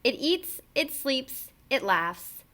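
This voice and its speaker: A woman reading a children's picture book aloud in an expressive, emphatic storytelling delivery. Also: high voice, slow.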